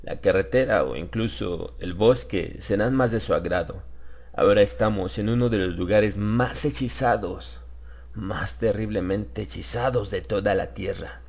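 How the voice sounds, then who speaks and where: A man voicing a mysterious, calm stranger in a horror audiobook, reassuring with a hint of command. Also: on the low side, normal speed, vocal fry. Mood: soothing, commanding.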